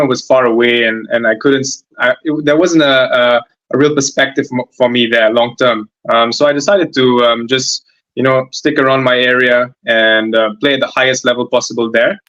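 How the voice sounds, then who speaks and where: A man's voice: mid-range voice, a bit bright, muffled recording. A man in his twenties from Germany, a footballer answering interview questions, hesitant, with many fillers and restarts. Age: 20s. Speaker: guest footballer.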